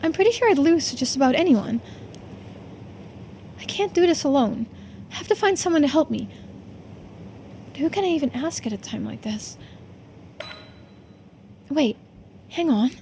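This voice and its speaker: A woman's voice: high voice, animated, moderate pace, long pauses, a little mellow, a little hiss or hum. A woman voicing an anxious, self-doubting young woman in a visual novel game, talking to herself emotionally. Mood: uneasy, pondering. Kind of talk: dramatic voiced reading of visual novel game dialogue.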